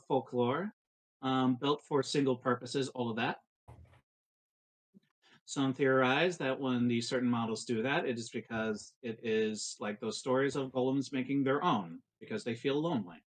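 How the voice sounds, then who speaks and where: A man playing a composed, politely attentive female ship doctor in a tabletop roleplay, speaking formally and explaining things in a measured way. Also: mid-range voice, fairly bright tone, close to an everyday voice. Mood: explaining calmly.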